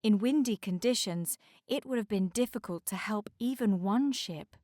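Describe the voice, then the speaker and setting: A woman storyteller reads a children's book aloud with measured, careful articulation. Her pitch sits in the middle range and the recording is close and dry. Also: dark tone, no room sound.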